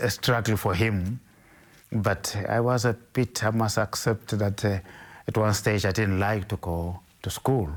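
An older Zimbabwean man with a very low, deep voice. He speaks slowly and carefully in an interview, often pausing and restarting his sentences as he recalls his past. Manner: measured, hesitant, with frequent restarts. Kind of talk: interview, recounting his life.

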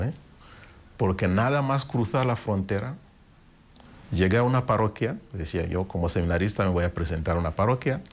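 Deep-voiced man telling his story slowly. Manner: reflective, unhurried storytelling with pauses and restarts. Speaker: interviewee. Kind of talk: personal testimony in an interview.

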